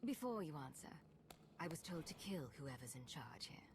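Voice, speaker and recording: A woman's voice: deep voice, big pitch swings, normal speed, a little hiss or hum. A woman playing a blunt, menacing assassin in a video game, speaking in a curt, questioning way. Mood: cold, impatient.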